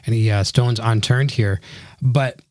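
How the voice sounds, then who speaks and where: A middle-aged man with a slightly hoarse voice, hosting a casual conversational interview. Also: mid-range voice, fairly even intonation, measured pace, no echo, clean studio sound. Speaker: show host interviewing a guest.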